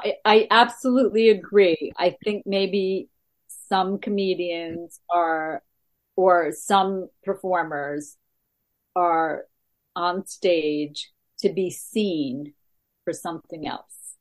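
A middle-aged woman stand-up comedian with a gravelly voice, talking in a halting, casual interview with many fillers. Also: low-quality recording. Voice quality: gravelly, deeper voice.

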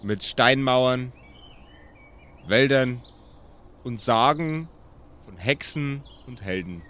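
A man narrating an improvised pen-and-paper story as game master, measured, with dramatic pauses. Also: mid-range voice, fairly bright tone.

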